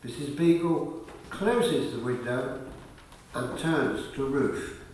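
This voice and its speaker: A man narrating a scripted radio play in a measured, deliberate storytelling manner. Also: mid-range voice, darkish tone, slight background noise. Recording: very echoey, distant from the mic.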